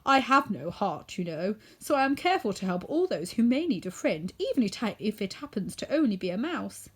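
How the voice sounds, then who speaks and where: Casting: a woman as humble, protective storybook tin woodman, courteous, earnest, husky with lively intonation. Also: medium pitch, fairly quick. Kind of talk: storybook character voice.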